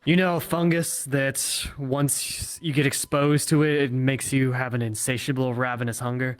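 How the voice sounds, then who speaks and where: A man's voice: mid-range voice, flat delivery, normal speed, smooth, no echo, faint music behind, close to an everyday voice. A man playing an inquisitive, practical townsman in a fantasy roleplay, politely skeptical and full of questions. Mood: probing. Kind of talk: in-character virtual reality roleplay.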